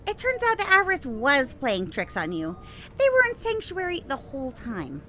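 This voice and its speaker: Excitable audio drama ally, played by a woman: high, bright, brisk, faint ambience behind. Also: slight background noise, heavily acted.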